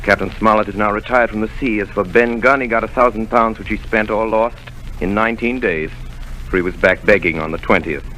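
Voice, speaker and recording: A man's voice: fairly low pitch, bright, forceful tone, slight background noise, low-quality recording. A man doing a brooding grown narrator. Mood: reflective. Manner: measured, brooding. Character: grown man recalling a boyhood adventure. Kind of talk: storytelling narration.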